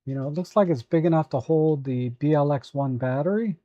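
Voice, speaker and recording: A hoarse man hosting a live photo editing tutorial, talking at an easy, halting pace with many fillers while answering viewers. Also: fairly high pitch, dark tone, no echo, highs cut off. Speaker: photo editing livestream host.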